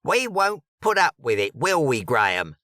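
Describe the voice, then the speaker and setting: A man's voice: medium pitch, wildly swooping pitch, normal speed, sharp tone, no room sound, clean studio sound, pitched above the performer's own voice. A man doing a brash East London woman. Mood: aggressive.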